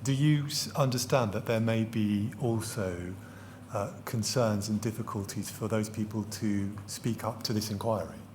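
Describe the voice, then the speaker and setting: A man, questioning a witness at a public inquiry in a measured, precise and courteous way. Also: on the low side, darkish tone, a little hiss or hum. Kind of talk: formal questioning. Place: large public hearing hall.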